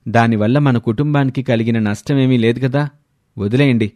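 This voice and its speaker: A man's voice: medium pitch, moderate pace, dark tone, no echo, lightly acted. A man voicing an earnest, firm grown son and husband in a family storybook tale. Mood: defensive.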